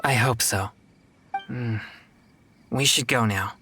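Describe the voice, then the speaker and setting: A man voicing an earnest, principled young exorcist in a video game, speaking politely in formal, measured wording. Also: medium pitch, pushed and bright, slight background noise. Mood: calm, resolute.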